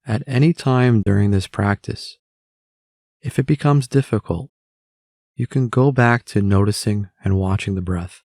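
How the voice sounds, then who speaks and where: A man narrating a guided meditation in a measured way, with long pauses between phrases. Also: fairly low pitch, vocal fry, a little mellow, dry recording, clean studio sound.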